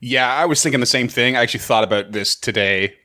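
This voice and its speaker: A man's voice: mid-range voice, fast, bright, forceful tone. A man co-hosting a podcast, chatting in an easygoing way and mostly reacting to his partner. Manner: easygoing, reacting with short replies and laughs. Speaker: podcast co-host. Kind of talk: casual podcast chat.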